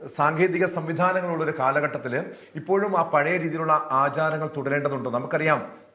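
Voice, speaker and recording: A Malayali man gives commentary on a television debate panel. His voice sits a little higher than average for a man, and the room echoes noticeably around it. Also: moderate pace, pushed and bright. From Kerala, India. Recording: roomy, echoing sound.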